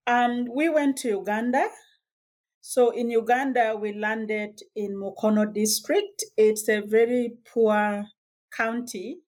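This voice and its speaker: A woman from Sudan, telling her life story as a guest in a podcast interview, measured and reflective with small hesitations. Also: on the high side, steady tone, darkish tone. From South Sudan. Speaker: guest author.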